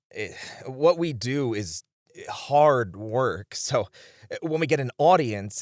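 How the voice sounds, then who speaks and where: A man's voice: medium pitch, dry recording, clean studio sound. A man voicing a supportive, playful lounge host in an ASMR roleplay, reassuring and earnest with his colleague. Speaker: voice actor.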